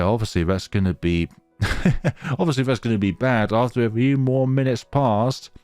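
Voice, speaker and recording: A man's voice: on the low side, darkish tone, no echo. A man narrating a visual novel as a let's player, reading expressively and slipping into casual commentary.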